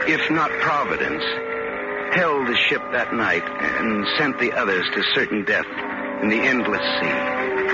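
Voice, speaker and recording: An actor playing a frightened, guilt-ridden sailor who stammers in scenes and narrates slowly and gravely, in a 1940s radio drama. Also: male voice, on the low side. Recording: dull lo-fi old radio recording with music.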